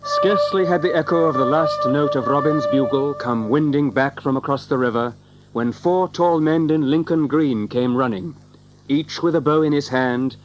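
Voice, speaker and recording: A man's voice: a little high, normal speed, highs cut off. A man narrating an adventure audiobook in a storytelling manner with old-fashioned literary phrasing. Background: music under the narration.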